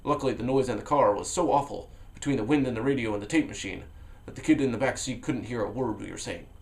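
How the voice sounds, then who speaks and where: A man reading a novel aloud on a vlog, expressive, with sudden leaps in pitch and loudness and a low, hushed voice for inner thoughts. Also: quick pace, creaky. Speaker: vlogger reading a book aloud. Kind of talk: dramatic read-aloud of a novel.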